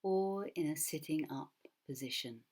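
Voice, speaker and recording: Female meditation guide, low and breathy, soft and soothing, very unhurried delivery with long pauses. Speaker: mindfulness teacher. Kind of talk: guided body scan meditation.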